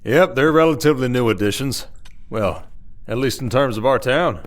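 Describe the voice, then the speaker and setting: A man's voice: medium pitch, moderate pace, close to an everyday voice. Man playing a wry drawled werewolf sheriff. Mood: wry, explaining. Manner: folksy, dry-humored, rambling explanations. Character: weary, wry, protective male werewolf sheriff of an Old West town. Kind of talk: ASMR audio roleplay acting.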